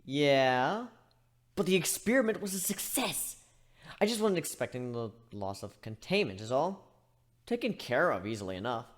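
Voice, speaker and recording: A reckless, merry griffin acted out by a man, flippant and sarcastic in every exchange. The voice jumps about in pitch with plenty of animation, as in a dramatic fantasy reading. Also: sing-song, normal speed. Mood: cheerfully unbothered. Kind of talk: dramatic reading of fan fiction.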